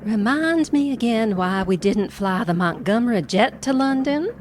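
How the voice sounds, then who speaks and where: A woman's voice: on the high side, animated, moderate pace, darkish tone, soft background music, close to an everyday voice. A woman playing a wry, defiant wife in an audio drama, with a dry, sardonic manner. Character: wry, defiant wife who distrusts her husband.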